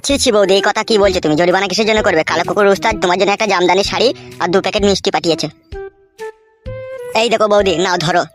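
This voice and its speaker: Woman-like voice as a rapid, wheedling cartoon henchman. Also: mid-range voice, faint music behind, lightly acted. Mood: nervous. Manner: pleading, servile. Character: timid, wheedling young male henchman, loyal go-between. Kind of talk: comic cartoon dialogue.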